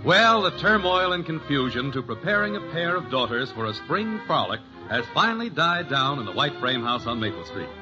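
A man's voice: mid-range voice, sing-song, moderate pace, hardly any pauses, music in the background. An American man announcing and narrating from a script in the style of 1950s radio. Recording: muffled old radio broadcast recording.

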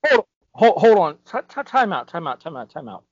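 High-pitched, lively man, dry dull recording. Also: normal speed, no echo. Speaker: podcast panelist. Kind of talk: casual banter.

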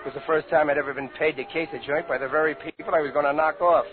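A man's voice: fairly high pitch, steady tone, rapid-fire, phone-quality sound, lightly acted. An actor playing a tough, slangy ex-con safecracker and father in a 1950s radio crime drama. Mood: wry, confident. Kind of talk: 1950s radio crime drama acting, first-person narration. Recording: band-limited old radio broadcast, music behind.

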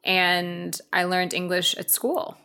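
A woman's voice: lowish voice, monotone, slow, gravelly, bright, forceful tone, clear, resonant tone. A woman in her thirties from Brazil, a startup founder, answering interview questions in a chatty way with lots of fillers. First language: Spanish. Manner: chatty, many fillers, laughs easily. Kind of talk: conversational interview.

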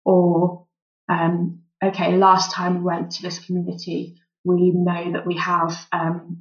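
A woman with a lowish voice and a gravelly creak, talking through her medical work with lots of pauses and "um"s. The audio is a bit dull and lo-fi. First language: English. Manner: thoughtful, many "um" fillers. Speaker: volunteer doctor, guest. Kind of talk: relaxed interview answers.

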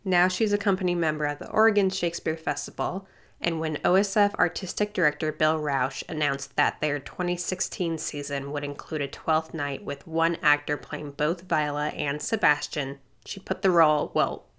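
A woman's voice: sits low, fairly even intonation, moderate pace, fairly bright tone. Woman narrating a radio feature. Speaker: radio host.

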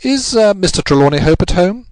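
A man playing a commanding English gentleman detective in a story, incisive, urgent and authoritative. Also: high-pitched, lively intonation, a little fast, no echo, voice pushed up. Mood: coolly firm.

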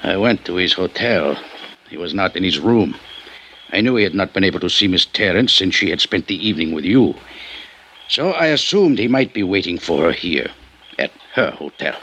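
Deep-voiced, proud gentleman. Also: animated, moderate pace, dull audio. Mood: cool, disdainful. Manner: formal, coolly courteous. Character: suave, vengeful Mexican gentleman, cold and proud. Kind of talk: 1950s radio drama acting. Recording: faintly noisy old radio recording.

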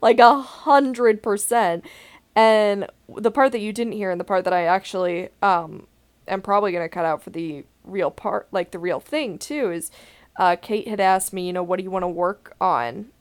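A young woman with a deep voice, hosting a podcast in a candid, rambling way and laughing now and then mid-sentence. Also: moderate pace, clean tone. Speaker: podcast host. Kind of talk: casual podcast chat.

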